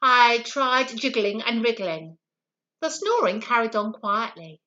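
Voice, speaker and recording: Woman reading as a bright, lively little storybook pea. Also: fairly high pitch, unhurried, highs cut off. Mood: determined. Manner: expressive, confiding storybook narration. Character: small earnest storytelling pea, eager to help. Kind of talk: first-person children's story reading.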